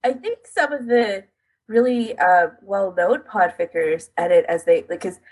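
A woman's voice: medium pitch, unhurried, darkish tone, breathy edge, low-quality recording. A woman co-hosting a podcast, explaining things in a casual, conversational way. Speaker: podcast co-host.

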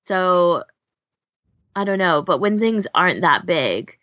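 Woman, low-pitched, blunt and emphatic, casual podcast chat, muffled lo-fi sound, very dry. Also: sing-song, takes it slowly, a bit bright, no room sound. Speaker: podcast host. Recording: dull lo-fi audio.

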